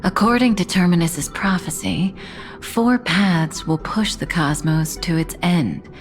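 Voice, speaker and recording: A woman voices a mysterious, self-possessed woman in a video game. She speaks slowly in a lowish register, solemn and tender, sometimes hushed but always in command. Soft music sits behind her. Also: lightly acted. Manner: measured, solemn, hushed at times. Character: composed, enigmatic adult woman, tender and commanding.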